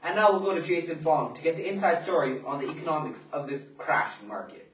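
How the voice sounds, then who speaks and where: Man as earnest 1930s radio anchor, slightly high voice, echoey. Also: fast, natural voice. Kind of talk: scripted news broadcast acting. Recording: echoey, distant, dull amateur recording.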